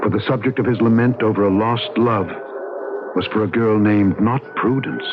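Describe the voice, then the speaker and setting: A man's voice: lowish voice, moderate pace, background noise, lightly acted. An actor playing a troubled, haunted man in a radio ghost story, with earnest, dramatic delivery and literary phrasing. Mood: somber, brooding. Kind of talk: radio drama acting and first-person narration. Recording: muffled old radio recording, music behind.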